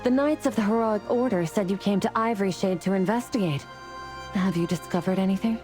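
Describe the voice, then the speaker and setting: A woman's voice: mid-range voice, a little fast, music in the background, close to an everyday voice. A woman playing a noble, resolute celestial being in a game story, speaking formally and with composure. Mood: apologetic. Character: noble, solemn, resolute female celestial warrior. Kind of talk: scripted game voice acting.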